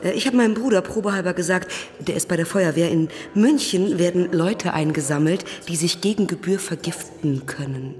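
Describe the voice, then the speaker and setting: A woman's voice: lowish voice, fairly quick, hardly any pauses, darkish tone, lightly acted. Actress as a brash, slangy woman in a hall. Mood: cheeky. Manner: blunt, slangy. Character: brash, slangy, outspoken woman, enthusiastic newcomer. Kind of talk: colloquial radio drama dialogue. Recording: long hall reverb under music.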